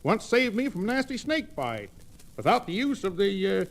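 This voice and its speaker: An actor playing a pompous, genial old medicine-show fraud, a comic sidekick in a radio western with florid, grandiose bluster. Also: male voice, high voice, big pitch swings, normal speed, vocal fry, exaggerated voice.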